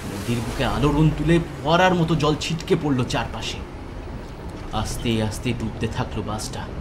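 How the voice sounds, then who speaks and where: A man's voice: medium pitch, moderate pace. A man narrating a scripted horror story with intense, suspenseful, dramatic delivery. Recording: loud ambient noise behind the voice.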